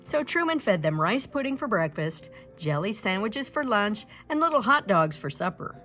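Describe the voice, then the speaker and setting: Expressive woman reading a picture book, lively pitch. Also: moderate pace. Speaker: storybook reader. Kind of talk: scripted children's picture-book read-aloud.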